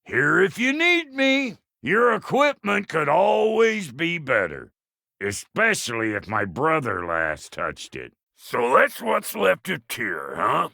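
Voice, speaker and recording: Gruff American dwarf blacksmith for a game: high-set, sharp, rough voice, foul-mouthed and cocky, folksy drawn-out delivery. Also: male voice, huge pitch swings, no echo, clean studio sound, exaggerated voice. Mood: gruff, grumbling. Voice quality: gravelly, rough. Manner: brash, folksy, profane. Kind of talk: video game character voice lines.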